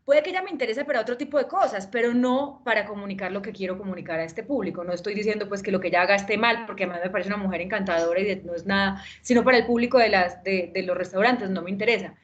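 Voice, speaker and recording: A woman's voice: mid-range voice, racing, hardly any pauses. A woman who works in public relations, explaining her work with examples on an academic panel. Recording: dull lo-fi sound.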